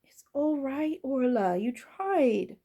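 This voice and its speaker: A kindly, flustered master of a fantasy castle, played by a woman reader. He talks in a lively, fairly high voice, hesitant and awkward as he reassures, in storybook style. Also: slow pace, full character voice. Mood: consoling.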